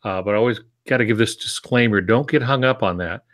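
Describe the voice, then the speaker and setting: A man in his sixties with a low voice speaks as an informal teacher. He explains step by step in an easygoing way, often adding asides and disclaimers. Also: fast talker, a bit bright. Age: 60s. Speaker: co-host and writing teacher. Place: small home office, on a video call.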